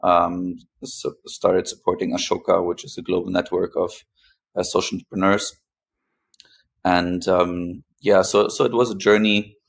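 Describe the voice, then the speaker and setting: Low monotone guy, podcast guest talking haltingly, pauses a lot. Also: creaky. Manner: hesitant, pausing often between phrases. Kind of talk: interview conversation, recounting own experience.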